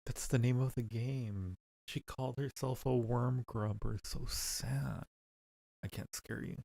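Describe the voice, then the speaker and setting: A man's voice: medium pitch, steady tone, unhurried, vocal fry, warm tone, no echo. A man hosting a live game stream, chatting casually with music playing behind him. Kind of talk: casual live chat while gaming.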